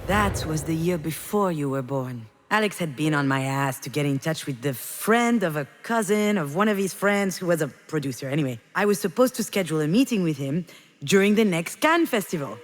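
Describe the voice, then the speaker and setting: A woman's voice: sits low, animated, fairly quick. A woman playing a troubled, self-doubting, grieving mother in a narrative video game, speaking introspectively. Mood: reminiscing. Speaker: voice actor in a visual novel game. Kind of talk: dramatic narrative game dialogue and introspective monologue.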